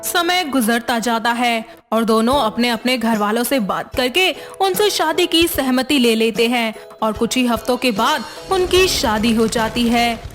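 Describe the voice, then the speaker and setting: A woman narrator reading a scripted moral story in the manner of a children's tale. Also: on the high side.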